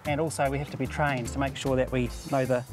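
A New Zealand man who works in conservation talks the viewer through his fieldwork. He sounds matter-of-fact and explains things plainly, pausing with "um" now and then. His voice sits at a middling pitch, with faint music underneath. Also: flat delivery. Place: outdoors in a shallow stream.

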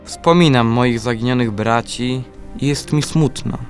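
A man's voice: mid-range voice, unhurried, soft background music, close to an everyday voice. A man playing a devoted, sorrowful young prince, earnest and solemn, in a children's radio play. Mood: sad, resolute.

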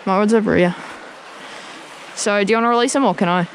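A young man hosting a fishing vlog, speaking in brief, relaxed remarks with quiet enthusiasm, recorded outdoors on an action camera. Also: high-pitched, fast, slight background noise, rough recording. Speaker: fishing channel host. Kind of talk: casual fishing vlog chat. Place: outdoors on a creek bank. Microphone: wearable action camera.

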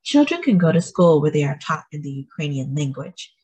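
Woman, classroom read-aloud host; slightly breathy, dry close recording, careful storybook delivery. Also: low voice, no echo. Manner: slow, careful storybook reading with lively rises on key words. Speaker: children's book reader.

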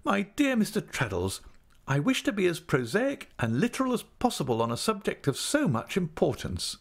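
Male reader doing a fussy, earnest wife; high, lively delivery, emphatic. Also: normal speed, gravelly, heavily acted. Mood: insistent. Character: fussy earnest middle-aged wife, shrill and insistent.

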